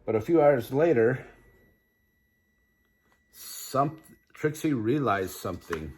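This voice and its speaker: An older man reads a children's story aloud in a grandfatherly way, pausing to put chatty questions to young listeners. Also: medium pitch, lively intonation, slightly rough.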